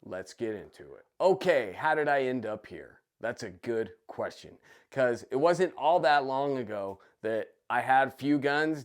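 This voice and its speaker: A man hosting his own channel, talking casually about his story, his voice raspy and a bit nasal from a cold. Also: medium pitch. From United States. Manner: enthusiastic, chatty. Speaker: gun channel host. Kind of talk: casual personal vlog. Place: basement room.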